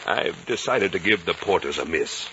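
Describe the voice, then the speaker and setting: Breezy shrewd businessman, casual, bright forceful tone, vintage radio drama, muffled recording. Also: deep voice, expressive, normal speed. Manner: folksy, casual. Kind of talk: 1950s radio drama acting. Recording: dull, noisy old radio recording.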